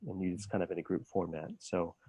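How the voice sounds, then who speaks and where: A man coach talking through a work idea in a measured, tentative way. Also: low voice, steady tone, warm tone, husky, no room sound. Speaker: business English coach. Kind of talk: casual work conversation.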